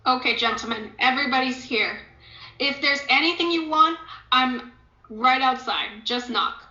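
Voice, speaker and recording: A woman reading the part of a brisk, businesslike guard in a scripted play. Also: high-pitched, bright, forceful tone. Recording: echoey room, dull lo-fi audio.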